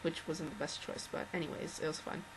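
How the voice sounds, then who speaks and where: Earnest young woman with a low voice. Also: little pitch movement, fairly quick, slight background noise, low-quality recording. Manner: rambling, earnest, many restarts and fillers. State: slightly worn-out voice. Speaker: fan addressing a singer. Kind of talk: unscripted video message.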